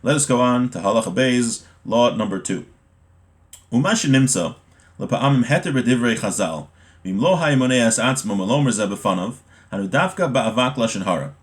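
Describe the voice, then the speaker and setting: A man teaches a class on a religious text in a mid-pitched voice. He reads passages, then explains them in informal terms, sometimes halting or repeating a word to stress it. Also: animated. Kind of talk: explanatory lesson, reading Hebrew text then translating.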